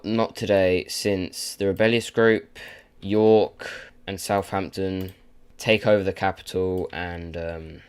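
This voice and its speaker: A man's voice: deep voice, smooth. A man with a sore throat, casually narrating a map video with rambling, filler-heavy commentary. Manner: rambling, filler-heavy, loses his train of thought.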